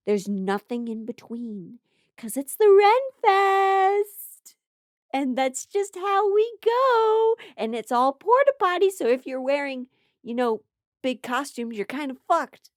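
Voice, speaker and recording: A woman's voice: high-pitched, dry recording, studio quality. An American woman telling a casual comedic stand-up story, playful and theatrical, stretching words for emphasis and dropping mock-serious asides.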